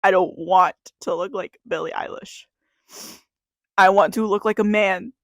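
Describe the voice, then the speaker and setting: A woman's voice: mid-range voice, steady tone, unhurried, dry recording. A 21-year-old woman, crying as she reads a confessional spoken-word poem to camera, with a repeating refrain. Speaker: YouTuber.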